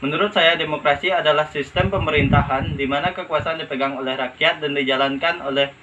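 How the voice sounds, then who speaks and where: A man's voice: fairly high pitch, steady tone, quick pace. A young man giving a prepared statement as a student interviewee, on a dull, noisy recording. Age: young adult. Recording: dull lo-fi, clear background noise.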